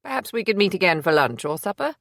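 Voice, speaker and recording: A woman's voice: fairly low pitch, big pitch swings, fast, dry recording, studio quality, voice pushed up. A woman voicing a stern, stubborn male police inspector, speaking in a firm, formal and clipped way.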